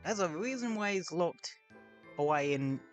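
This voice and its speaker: A man's voice: on the high side, animated, moderate pace, faint music behind. A man streaming a game, talking casually in rambling, sweary asides at home. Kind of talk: casual gameplay commentary. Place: home room with window open.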